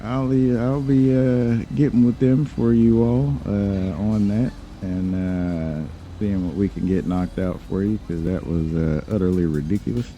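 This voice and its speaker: A man playing a confident, mocking lawyer in online roleplay, speaking dryly and condescendingly with measured hesitations. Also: lowish voice, fairly even intonation, warm tone, dull audio. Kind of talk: in-character roleplay banter.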